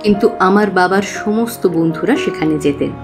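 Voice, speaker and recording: An actress playing an earnest young working woman who confides expressively and with pauses in an audio drama. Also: on the low side, over music, lightly acted. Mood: confiding. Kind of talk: dramatised audio story acting.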